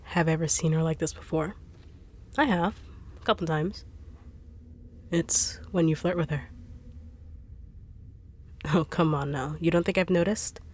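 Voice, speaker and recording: A no-nonsense, teasing bodyguard, voiced by a woman with a low voice. She talks dryly and bluntly in an audio roleplay. Faint hiss sits behind her. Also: unhurried, long pauses. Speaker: voice actor.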